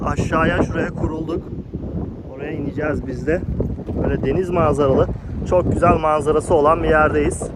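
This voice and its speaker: Guy doing a casual bike vlog, highish voice, bit of outdoor noise. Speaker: motorcycle vlogger, host. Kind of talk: casual vlog chat to viewers and friends. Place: outdoors, on a motorcycle and at a windy roadside. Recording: noisy outdoors, wind and engine noise.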